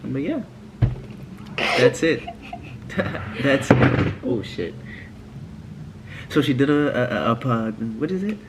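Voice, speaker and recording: Brief: shy 19-year-old guy, relaxed delivery with swinging pitch, noisy home recording. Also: slow pace, pauses often. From New York. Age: teens. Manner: laid-back, camera shy. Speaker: co-host, boyfriend. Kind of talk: casual couples Q&A chat. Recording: noticeable background noise.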